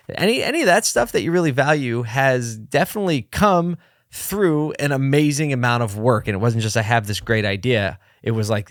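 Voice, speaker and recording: A man hosting a solo podcast from his office desk, slightly hoarse and low on energy, talking in a rambling, candid way and pepping himself up as he goes. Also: no room sound, studio quality. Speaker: podcast host. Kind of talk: casual solo podcast talk.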